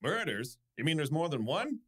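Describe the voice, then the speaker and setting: A man playing an exasperated, gruff police inspector in an old-time radio drama, blustering and accusatory. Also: a little high, very animated, normal speed, a bit bright, full character voice. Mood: exasperated, suspicious.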